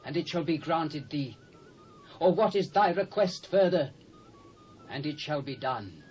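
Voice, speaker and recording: A man's voice: fairly high pitch, lively intonation, a little slow, a little mellow, faint music behind. A British man playing a commanding, stately Persian king in a dramatised audio Bible. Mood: magnanimous, imperious. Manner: stately, declamatory. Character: commanding Persian king granting royal decrees. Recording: dull lo-fi cassette transfer.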